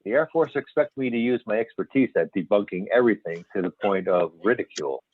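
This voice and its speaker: Low-voiced man playing a veteran astronomer, phone-like sound. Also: lively intonation, fast talker, breathy edge. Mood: candid, wry. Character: veteran male astronomer turned UFO investigator, folksy and candid. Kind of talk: amateur readers theater, scripted interview reminiscence. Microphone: phone or videoconference call. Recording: band-limited phone-like conference call audio.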